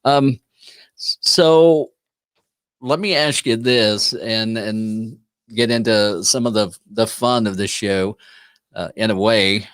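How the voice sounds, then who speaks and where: A man with a lowish voice hosts a relaxed interview and rambles through his thoughts, with plenty of fillers and false starts. The recording is dry and close, with no room sound. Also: takes it slowly, clear, resonant tone, no room sound. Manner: rambling, many fillers and restarts. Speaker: show host. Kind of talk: casual interview chat.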